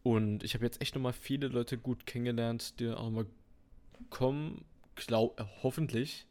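A man's voice: mid-range voice, fairly even intonation, moderate pace, a little mellow. A nineteen-year-old man co-hosting a podcast, chatting casually in laid-back slangy talk. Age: turning 19. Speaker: podcast co-host. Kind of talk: casual chat.

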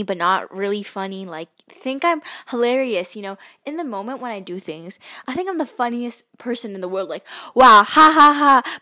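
A woman's voice: mid-range voice, pushed and bright. Chatty teenage girl podcaster. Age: teens. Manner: chatty, self-mocking, giggly. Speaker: podcast host. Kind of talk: casual chat.

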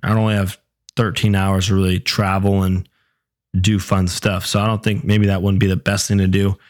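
A young man hosting his own podcast in a casual, chatty way, with a sore, hoarse voice that is giving out. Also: low voice, little pitch movement, normal speed. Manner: laid-back, chatty, swears casually. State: sore throat, losing voice. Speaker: podcast host. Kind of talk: casual solo podcast chat.

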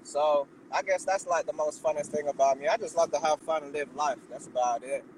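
A man from London chatting casually as an interview guest, laid-back, slangy and quick to swear. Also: on the high side, a little hiss or hum, poor audio. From Southeast London. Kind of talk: casual chat.

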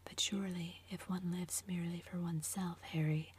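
A woman's voice: lowish voice, level tone, moderate pace, a little mellow, slight background noise, lightly acted. A woman whispering as a grave male painter. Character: serious, troubled male painter.

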